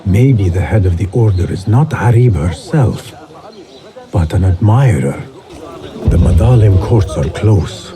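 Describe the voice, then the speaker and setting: An actor playing a quiet, watchful young male assassin who muses calmly. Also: measured pace, over music. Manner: low, calm, reflective. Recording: game audio with strong score.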